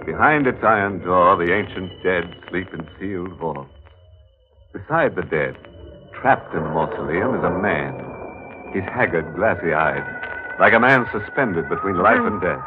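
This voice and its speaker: A man playing a sardonic, macabre host of a 1940s radio horror show, speaking in a theatrical, mock-sinister way with drawn-out words. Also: low-pitched, lively intonation. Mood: grim narration. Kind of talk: 1940s radio mystery narration. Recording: muffled vintage 1940s radio recording. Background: music.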